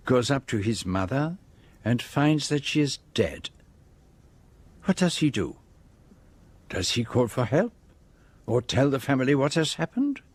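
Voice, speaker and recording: An actor playing a self-assured detective in a radio drama, speaking in a deliberate, formal and precise way as he lays out a case. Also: mid-range voice, slightly rough, no room sound, exaggerated voice. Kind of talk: radio drama acting, monologue.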